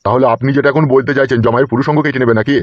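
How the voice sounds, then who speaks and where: A man's voice: mid-range voice, steady tone, racing, dry recording, natural voice. A man voicing a worried middle-aged village father in a comedy cartoon, deferential but protesting, in a lo-fi recording.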